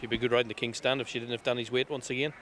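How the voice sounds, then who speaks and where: Male sports interviewer: rapid, bright voice, chatty outdoor post-race style. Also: medium pitch, level tone, slight background noise. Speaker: racing TV interviewer. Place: outdoors at a racecourse.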